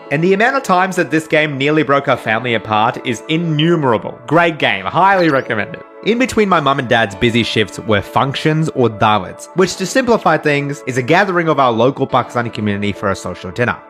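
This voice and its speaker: A young man from Australia whose first language is Urdu, hosting a storytelling channel with playful, scripted comic narration. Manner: animated and playful, jumping into high excited asides. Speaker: animated storytelling channel host. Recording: voice-over with faint background music.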